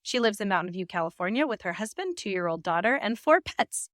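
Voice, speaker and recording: A woman's voice: mid-range voice, very animated, fairly quick, fairly bright tone, no echo, clean studio sound. Upbeat woman podcast host. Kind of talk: scripted guest introduction and interview.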